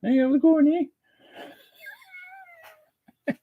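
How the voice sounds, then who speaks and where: Guy doing a tiny chatty girl pet, super high squeaky falsetto, canadian accent, folksy n casual. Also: fairly even intonation, drawn-out words, no echo, phone-quality sound, heavily acted. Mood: chirpy, friendly. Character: small chatty female pet with a Canadian drawl.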